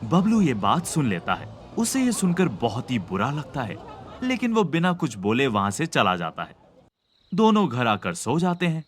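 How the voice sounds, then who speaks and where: A man's voice: medium pitch, huge pitch swings, normal speed, slight background noise. A man reading scripted narration for a cartoon story. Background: background music.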